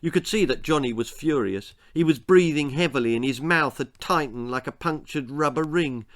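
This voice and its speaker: A man reading a children's story aloud as a dramatic, expressive narrator. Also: a little high, normal speed. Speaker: author reading his own story.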